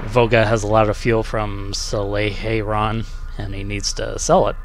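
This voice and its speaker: A man's voice: on the low side, level tone, moderate pace, slight background noise. A man doing casual let's-play commentary as a gaming video host, muttering to himself and reading dialogue aloud in a laid-back way. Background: game music.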